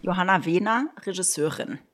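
A woman's voice: lowish voice, moderate pace, fairly bright tone. Woman explaining in an informal team call. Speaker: theatre director leading the team. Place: home office video call.

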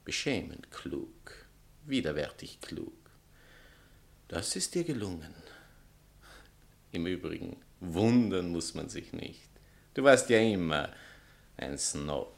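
A man's voice: low-pitched, sing-song, slow pace, gravelly, long pauses, full character voice. An actor playing a vain, scornful male writer and spurned lover in a satirical stage comedy, pompous and declamatory. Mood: sneering, scornful. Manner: pompous, theatrical, declamatory, swinging from outbursts to sneers. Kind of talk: theatrical satirical comedy acting.

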